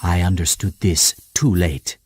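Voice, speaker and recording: An actor playing a wise old warrior mentor in a cartoon game, speaking in a solemn, formal, measured way. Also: male voice, sits low, steady tone, slightly rough. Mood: grave, resolute. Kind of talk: animated cartoon game cutscene acting.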